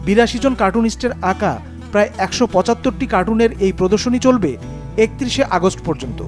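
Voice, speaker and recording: A male reporter narrates a news feature in standard Bangladeshi Bengali. His voice sits high for a man, and soft music plays behind it. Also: expressive, brisk.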